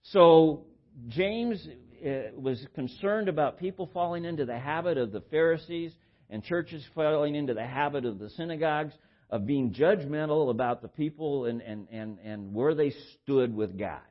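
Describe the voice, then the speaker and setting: An older man with a baritone voice preaching informally, halting and repeating words as he shares personal stories in a conversational way. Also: expressive, dull audio. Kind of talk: informal sermon with personal anecdotes.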